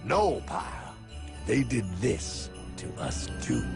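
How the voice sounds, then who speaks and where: A man acts out a brash, fiery cartoon guide with a very gravelly voice. He is theatrical and emphatic, his pitch leaping wildly, with loud music playing behind him. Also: huge pitch swings, slow pace, exaggerated voice. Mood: sardonic lecturing. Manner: emphatic, theatrical, calls listener pal. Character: brash, fiery male guide exposing banking schemes. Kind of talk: animated cartoon voice acting.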